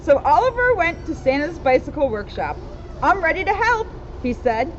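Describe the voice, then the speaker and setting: High-pitched lively woman, noisy outdoor recording. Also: normal speed. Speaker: police officer reading a children's story aloud. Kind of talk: storybook read-aloud with casual asides. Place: outdoors in a town street. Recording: dull outdoor recording with loud street noise.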